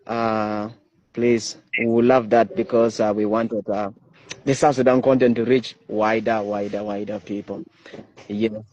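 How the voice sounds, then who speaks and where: A man hosting an online interview show, reading viewer comments aloud and pausing often on fillers. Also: fairly low pitch, little pitch movement, darkish tone, dull audio. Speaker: host.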